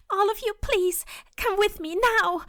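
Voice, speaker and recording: A woman's voice: very high voice, slow, pushed and bright, no room sound, clean studio sound, full character voice. A woman playing a frantic, earnest goddess of life and death in a fantasy audio drama, urgent and dramatic. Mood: panicked.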